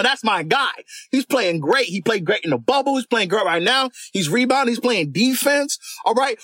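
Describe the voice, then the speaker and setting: High-voiced man on a fast sports rant. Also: dry recording. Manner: animated, keeps tagging "all right?". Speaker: guest commentator.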